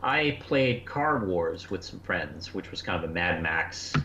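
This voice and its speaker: Middle-aged male co-host, animated but halting casual chat, dull echoey lo-fi sound. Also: low voice. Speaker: podcast co-host. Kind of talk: casual review discussion. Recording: muffled, echoey lo-fi connection, faint noise.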